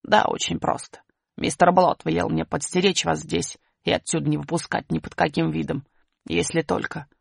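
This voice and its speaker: A woman voicing a mocking, mustached male trickster who gloats and jeers, in a low gravelly put-on voice. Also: level tone, normal speed, dry recording, clean studio sound, full character voice. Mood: gloating. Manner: jeering, sly, crowing.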